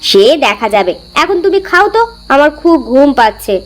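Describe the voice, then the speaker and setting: A woman voicing a lively, bossy, competitive village wife in a cartoon. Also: high-pitched, brisk, faint music behind. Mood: nagging. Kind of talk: cartoon voice acting.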